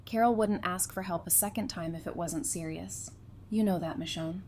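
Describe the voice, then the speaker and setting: A woman's voice: on the low side, normal speed, a little mellow, slight background noise, natural voice. A woman playing a candid council member who challenges others in an audio drama. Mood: challenging. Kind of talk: full-cast audio drama acting.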